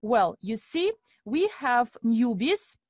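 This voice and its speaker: A woman's voice: on the high side, sing-song, takes it slowly, a little mellow, breathy edge, no echo, clean studio sound. Woman hosting a webinar. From Estonia. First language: Russian. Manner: formal, addresses audience as dear friends. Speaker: webinar host. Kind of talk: live online webinar presentation.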